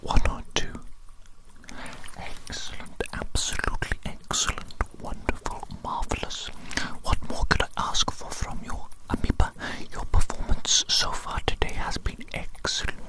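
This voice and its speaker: An ASMR creator whispering through a roleplay hearing test, slow and repetitive, heaping praise on the listener. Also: low-quality recording. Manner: doting praise, calls the listener little amoeba. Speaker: ASMR creator playing an examiner.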